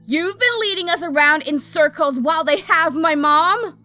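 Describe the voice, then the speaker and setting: A woman's voice: very high-pitched, normal speed, pushed and bright, soft background music. A woman playing a hot-tempered young fire mage in an audio drama, brash, urgent and demanding. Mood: furious, frantic.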